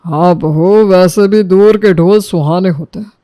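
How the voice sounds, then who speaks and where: A man's voice: high voice, a little slow, warm tone, no echo, clean studio sound. A man voicing a reasonable older father-in-law who gives homely advice in a moral story. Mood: reasoning.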